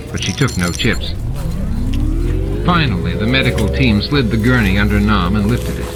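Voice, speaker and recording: A man reading a novel aloud as scripted, dramatic audiobook narration. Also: on the low side. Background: music and sound effects added to the reading.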